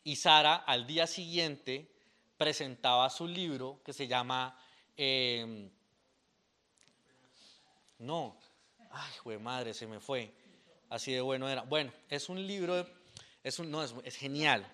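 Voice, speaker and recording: Animated young man, rambling. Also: mid-range voice, slow, long pauses. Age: young adult. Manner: rambling, animated, with fillers and restarts. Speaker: author presenting his poetry book. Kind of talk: casual talk at a book presentation.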